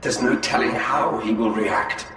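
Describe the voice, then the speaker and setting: Gloomy noble space elf warrior, slow and formal, echoes everywhere. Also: male voice, lowish voice, a bit bright, faint music behind. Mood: grave urgency. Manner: grave, formal. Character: grave, brooding male Eldar warrior, protective brother. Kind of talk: video game cutscene acting. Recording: very echoey, distant, faint noise.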